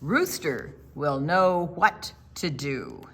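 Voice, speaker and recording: Woman as a wise storybook owl, very slow, husky, solemn, measured pronouncements. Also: low voice, animated, a bit bright, pitched below the performer's own voice, full character voice. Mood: instructive, grave.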